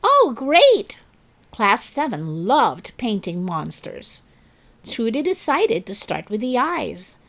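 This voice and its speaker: A woman hosting and narrating a children's story in an expressive, deliberate read-aloud style. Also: mid-range voice, creaky. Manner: slow, deliberate, animated delivery for children. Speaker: story reader and channel host.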